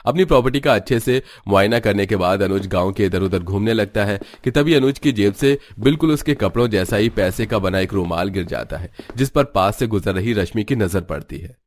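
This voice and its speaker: A man's voice: fairly low pitch, brisk, few pauses. A man narrating a scripted story. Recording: music bed under voice. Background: background music.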